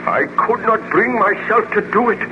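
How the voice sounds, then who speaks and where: Brief: haunted nobleman sworn to vengeance, fairly high voice, melodramatic vintage radio style, noisy recording. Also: lively intonation, moderate pace, narrow, tinny audio. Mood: bitter resolve. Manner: earnest, melodramatic, formal speech. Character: haunted, vengeful baron, tormented creator of a monster. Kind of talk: 1930s radio serial acting.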